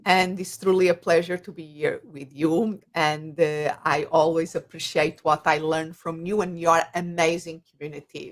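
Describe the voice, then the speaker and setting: A middle-aged woman from Portugal with a Portuguese accent, speaking as an interview guest, earnest, with fillers and rephrasing. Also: sits low. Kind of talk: casual interview talk.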